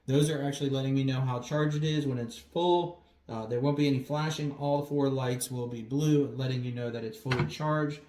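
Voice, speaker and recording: A man's voice: medium pitch, steady tone, normal speed, few pauses, a little mellow. Man reviewing a product, roomy echo. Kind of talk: casual product review demonstration. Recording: roomy echo with faint noise.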